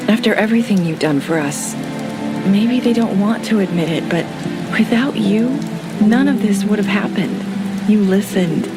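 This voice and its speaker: A guilt-ridden young woman , voiced by an actress, talks haltingly and pleads, with restarts. Loud music plays under her in the game audio. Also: mid-range voice, lightly acted. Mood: earnest.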